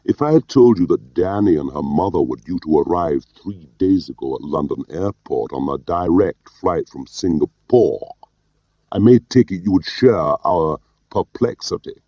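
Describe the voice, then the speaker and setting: A man's voice: sits low, animated, moderate pace, dry recording. Audiobook villainous boss: Scottish intelligence chief, accusing, pert and pompous, read by a male narrator. Very dry, muffled sound. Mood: probing.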